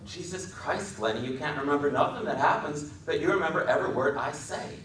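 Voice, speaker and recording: A man playing a weary, gruff, protective working man with terse, rough folksy speech in a dramatic reading. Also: fairly high pitch, brisk, a bit bright, pitched above the performer's own voice, natural voice. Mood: exasperated. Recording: roomy echoing hall, distant mic, faint noise.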